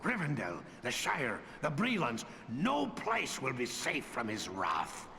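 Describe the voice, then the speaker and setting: A gravelly old dwarf lord. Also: male voice, mid-range voice, huge pitch swings, slow pace, bright, forceful tone, full character voice. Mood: earnest, urgent. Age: older. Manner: rolling, emphatic, old-fashioned phrasing. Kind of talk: fantasy video game character acting. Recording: reverberant hall, faint background noise.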